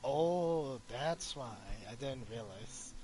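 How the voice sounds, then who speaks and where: Male game streamer: casual live commentary, mid-pitched voice, clear noise in the recording. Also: expressive, takes it slowly, dark tone, rough recording.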